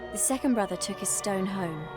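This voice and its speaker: A woman's voice: medium pitch, lively intonation, brisk, natural voice. An actress playing an earnest, clever young witch in a video game. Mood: solemn storytelling. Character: earnest, clever young witch reading a fairy tale and warning friends. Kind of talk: film-like video game voice acting. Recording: loud music over the voice.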